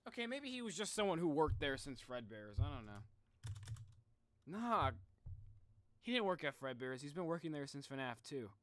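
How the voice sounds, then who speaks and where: Animated young man livestreaming, pitched high. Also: moderate pace, pauses often. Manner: informal, animated, talks to viewers. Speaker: live streamer. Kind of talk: casual livestream chat.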